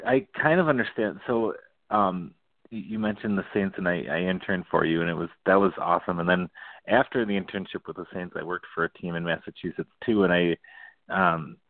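A young man hosting a baseball talk show, eager and halting, with many restarts and nervous laughs. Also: low voice, dry recording. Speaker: podcast host. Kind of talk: casual interview. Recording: tinny phone-quality line, dry.

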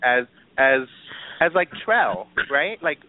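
A New York man speaks in an animated, loose way, filling his talk with "like" and "you know" and laughing often. His voice sits at an ordinary male pitch. The sound is dry and thin, like a phone call. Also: slow pace, no room sound. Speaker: guest. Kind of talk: casual discussion. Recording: dry, tinny phone-like line.

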